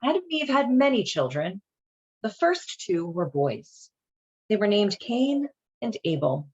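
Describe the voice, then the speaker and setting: A woman's voice: mid-range voice, very animated, a little slow, slightly rough, lo-fi sound. A woman hosting a casual read-along and commentary, speaking in an informal, wry, irreverent way. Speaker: host reading and commenting on a children's Bible.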